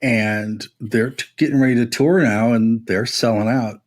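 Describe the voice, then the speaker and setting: A man's voice: medium pitch, fairly even intonation, unhurried. A man co-hosting a podcast, explaining his views on music in a casual, analytical way. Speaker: podcast co-host.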